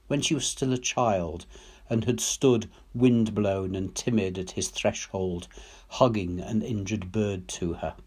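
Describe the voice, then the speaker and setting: Male, storybook narration, very slow pace, lowish pitch, solemn measured phrasing. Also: darkish tone, slightly rough. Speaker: story reader, teacher. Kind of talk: literary storybook narration read aloud.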